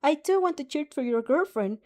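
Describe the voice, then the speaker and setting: Woman voicing a bubbly teen girl, chirpy, sing-song, soft tone. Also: high voice, expressive, brisk, voice pushed up. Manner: chirpy, valley-girl talk. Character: bubbly, cheerful teenage girl.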